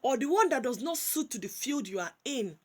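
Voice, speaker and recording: A Nigerian woman with a high voice, giving animated casual commentary that slides between English and Pidgin, with frequent restarts and repeated words. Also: a little fast, breathy edge. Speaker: commentary vlogger.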